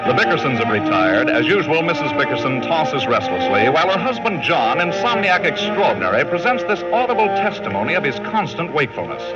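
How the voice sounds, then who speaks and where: An American man introduces a 1940s radio comedy in grand theatrical style. His high voice rises and falls with animation over loud music on a vintage broadcast. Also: big pitch swings, brisk, hardly any pauses, muffled recording. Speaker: show announcer.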